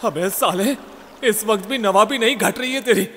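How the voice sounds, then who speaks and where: A man's voice: sits high, normal speed, fairly bright tone, a little hiss or hum, pitched above the performer's own voice. A man acting as a bold, bossy young ghost-case investigator in a comic horror audio drama, brash and scolding. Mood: exasperated, puzzled.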